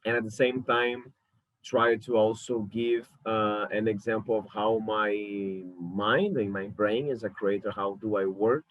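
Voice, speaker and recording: A man's voice: lowish voice, steady tone, measured pace, no room sound, lo-fi sound. A man in his thirties from Brazil with a Brazilian Portuguese accent, speaking English as a second language in a recorded video talk from his apartment, thoughtful and often correcting himself. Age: 30s. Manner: thoughtful, self-correcting, frequent hesitations. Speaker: curator and lecturer. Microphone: camera mic.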